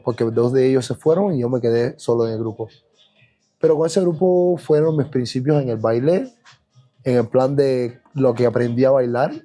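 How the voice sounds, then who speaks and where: Mellow-voiced Cuban man telling his story. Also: mid-range voice. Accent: Cuban Spanish. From Cuba. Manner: reflective, unhurried, with many fillers and restarts. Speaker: guest dancer. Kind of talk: podcast interview, personal storytelling.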